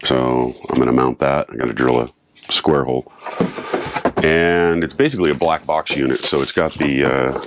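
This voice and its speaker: A man's voice: a bass voice, very noisy. An American man in his fifties explaining a hands-on job in a casual vlog style, informally thinking aloud, recorded on a clip-on mic in a small boat cabin. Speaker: boat owner presenting a DIY installation. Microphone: clip-on lavalier on the chest.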